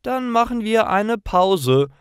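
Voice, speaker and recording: A man voicing a cheerful, friendly young woman in a storybook, speaking eagerly and clearly. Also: high-pitched, animated, takes it slowly, dry recording, clean studio sound, voice pushed up.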